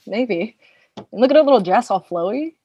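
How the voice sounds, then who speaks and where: A woman acting a husky, flippant woman. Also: a little high, lively intonation, normal speed, dark tone. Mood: offhand. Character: laid-back, flippant, teasing queer woman among fire ruins. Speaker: actor in a staged play reading. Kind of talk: naturalistic stage acting.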